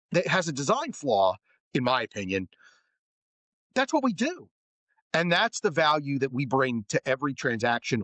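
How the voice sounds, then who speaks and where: A man's voice: a little high, fairly bright tone, no room sound. A man hosting a podcast, chatting casually and enthusiastically with his guest, full of friendly compliments. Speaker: podcast host. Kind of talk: casual interview chat.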